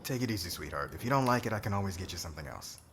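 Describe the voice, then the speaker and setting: A man's voice: sits low, expressive, very fast, heavy background noise. A man playing a cocky, flirtatious warrior commander in an ASMR roleplay, with a brash, teasing way of talking. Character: cocky, flirtatious warrior commander, crude suitor turning contrite.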